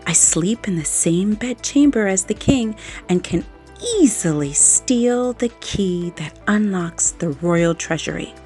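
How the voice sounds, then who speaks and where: A woman voicing a tiny, scheming boy who whispers his plan in a hushed, conspiratorial way. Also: mid-range voice, expressive, unhurried, soft background music, lightly acted. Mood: sly.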